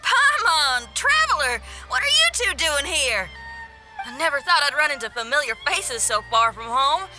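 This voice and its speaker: A woman playing a spirited, tenacious young female rock musician in a video game, with a folksy, expressive way of talking. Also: high voice, moderate pace, low-quality recording. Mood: pleasantly surprised. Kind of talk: video game cutscene voice acting. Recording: faint music behind.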